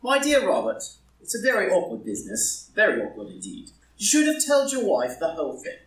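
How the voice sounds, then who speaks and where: Actor as a dandyish gentleman in an echoey room. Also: a little high. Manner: epigrammatic, urbane, formal. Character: languid witty English gentleman, dandyish loyal friend. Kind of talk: live group play reading. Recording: roomy echo, far from the mic.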